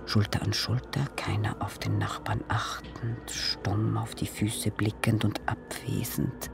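Man, literary recitation, breathy half-whisper, measured phrasing, slightly distant from the mic, music underneath. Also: fairly low pitch, big pitch swings. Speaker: reader of poetic prose in an audio piece. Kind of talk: literary recitation in a radio sound composition.

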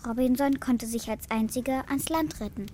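A woman's voice: on the high side, moderate pace, warm tone, a little hiss or hum, lightly acted. A female performer playing an earnest, matter-of-fact schoolgirl granddaughter in a children's radio play. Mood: earnest, patient. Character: earnest young schoolgirl, bright and eager granddaughter.

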